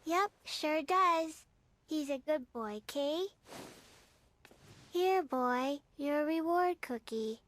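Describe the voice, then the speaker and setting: A woman's voice: high-pitched, animated, extremely slow, long pauses, fairly bright tone, exaggerated voice. A woman voicing a plain-spoken, childlike little girl in a video game, with a cute way of talking. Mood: pleased. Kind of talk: English video game dub acting.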